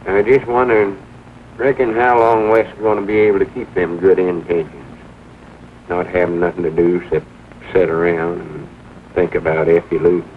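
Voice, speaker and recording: A man's voice: deep voice, little pitch movement, normal speed, close to an everyday voice. A man acts out an earnest small-town storekeeper with a rural Southern American accent, folksy and full of opinions. The sound is a tinny, faintly noisy soundtrack from an old comedy film. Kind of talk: 1940s film comedy-drama acting.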